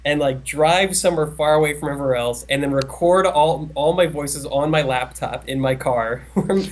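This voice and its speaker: A man's voice: a little high, normal speed. A man co-hosting a casual podcast chat, talking in a hesitant, rambling way with many restarts. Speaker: co-host.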